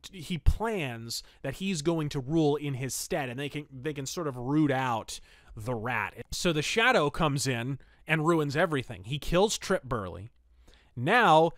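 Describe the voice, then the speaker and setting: A man's voice: on the high side, a bit bright, no room sound, studio quality. A man hosting a podcast, reading pulp fiction aloud and reviewing it. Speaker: podcast host.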